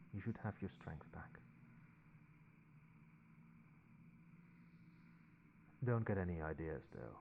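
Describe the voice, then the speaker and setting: A man playing a possessive, controlling old vampire in a soft-spoken, measured ASMR roleplay. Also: sits low, slight background noise. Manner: measured, formal, commanding.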